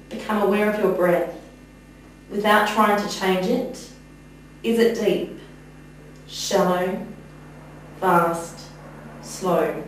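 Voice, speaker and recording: A woman student teacher giving slow guided breathing instruction for yoga. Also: medium pitch. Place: echoey indoor room, from a distance. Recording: echoey room, distant mic, faint noise.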